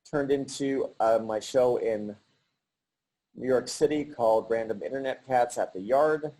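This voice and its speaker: A middle-aged man giving a halting virtual artist talk over a video call, with many pauses and restarts. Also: on the low side, warm tone, low-quality recording. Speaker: artist presenting his work.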